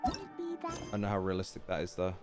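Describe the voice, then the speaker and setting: A man's voice: sits low, level tone, fast talker. A man giving casual, slangy, chatty commentary as he streams a game, with music faintly behind him. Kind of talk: casual reaction commentary while gaming.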